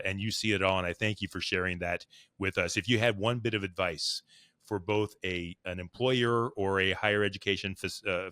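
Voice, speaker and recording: Deep-voiced enthusiastic podcast host. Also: male voice, sharp tone, dry recording, clean studio sound. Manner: enthusiastic, effusive praise, frequent restarts. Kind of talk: podcast interview hosting.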